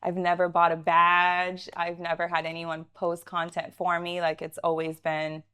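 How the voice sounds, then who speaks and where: A woman's voice: fairly low pitch, completely flat delivery, measured pace, bright, forceful tone, smooth. A woman in her thirties whose first language is Russian, chatting casually as an interview guest with many "like" fillers. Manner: chatty, frequent "like" fillers, laughs easily. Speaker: guest, realtor and entrepreneur.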